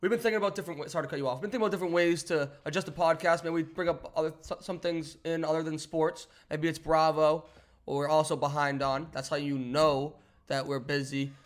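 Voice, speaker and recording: A man's voice: a little high, steady tone, fast. A man co-hosting a casual sports and pop culture podcast, chatting in an upbeat, joking way and slightly under the weather. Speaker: podcast co-host. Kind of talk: casual chat. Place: apartment in a big city.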